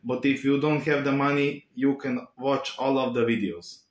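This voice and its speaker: A man's voice: medium pitch, steady tone, echoey room, natural voice. A man doing a preachy Bulgarian moto vlogger. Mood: earnest. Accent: Bulgarian-tinged English. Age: young adult. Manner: earnest, slow, preachy, repeats catchphrases. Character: earnest male motorcycle vlogger with broken English. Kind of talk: parody vlog impression. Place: small room indoors.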